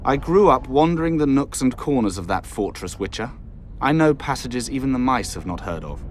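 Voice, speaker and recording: A medium-pitched, haughty young noble acted by a man from a fantasy game. He speaks formally, with proud, bitter sarcasm, over a faint background noise. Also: animated, moderate pace, lightly acted. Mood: wry, proud. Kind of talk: video game cutscene dialogue.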